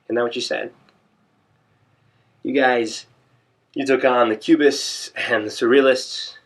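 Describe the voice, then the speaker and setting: A man performing an audition monologue as a defiant young painter, speaking in an emphatic, deliberate, scornful way. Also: medium pitch, fairly even intonation. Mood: scornful, challenging.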